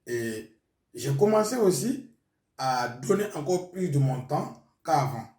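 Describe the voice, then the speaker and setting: A man's voice: fairly high pitch, very animated, slow, darkish tone. Man, pastor from Burkina Faso, Mooré first language; hesitant testimony, distant mic, echoey room. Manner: hesitant, with frequent fillers. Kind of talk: testimony interview.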